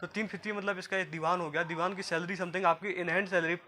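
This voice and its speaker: A man's voice: high-pitched, steady tone, fast, bright, forceful tone, a little hiss or hum. A man from gives an informal, repetitive explainer to viewers as a job guidance presenter. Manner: repetitive, explanatory, often addressing listeners as friends.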